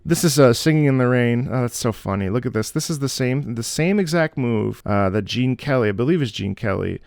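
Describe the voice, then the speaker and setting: A man's voice: medium pitch, animated, brisk, gravelly, a little mellow. A man hosting a music reaction video, talking in a chatty, enthusiastic, casual way. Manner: chatty, enthusiastic, with many fillers and restarts.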